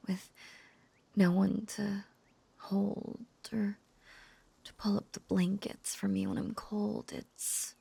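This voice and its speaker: A lovesick witch, acted by a woman in an intimate audio roleplay speaks very slowly in a breathy, whispery voice with a creaky edge. She is clingy and possessive, coaxing her beloved in halting, lonely phrases. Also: medium pitch, dark tone, full character voice. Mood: lonely, yearning.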